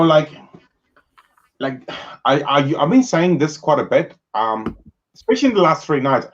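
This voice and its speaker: Male host, easygoing chatter, mid-pitched, slightly muffled lo-fi call audio. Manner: relaxed, chatty, uses fillers. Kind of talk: casual chat over whisky.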